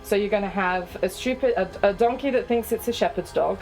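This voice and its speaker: A woman's voice: mid-range voice, a little fast, darkish tone, soft background music, low-quality recording. A woman from southwest France guiding visitors through a farm, chatty and joking about herself. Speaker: tour guide. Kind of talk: guided tour explanation.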